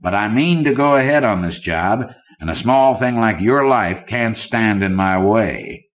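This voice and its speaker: A male narrator plays a smug banker villain in an audiobook story, ruthless and oily. He threatens with smooth condescension in a low-set man's voice. The sound is muffled, like an old cassette. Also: a little slow, lightly acted. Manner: oily, smooth-tongued menace. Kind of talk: audiobook character dialogue. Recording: dull lo-fi old cassette recording.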